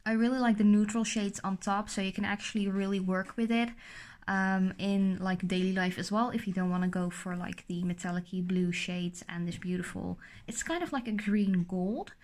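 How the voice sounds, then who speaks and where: A woman's voice: medium pitch, steady tone, moderate pace, darkish tone. A young adult woman whose first language is Dutch, chatting casually through a makeup unboxing with a sore throat and a rambling, filler-heavy manner. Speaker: beauty vlogger.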